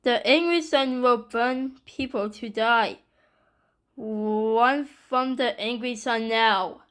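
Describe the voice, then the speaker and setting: A woman reading a scripted emergency alert announcement in a stilted, deliberate manner. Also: a little high, fairly bright tone, clean tone.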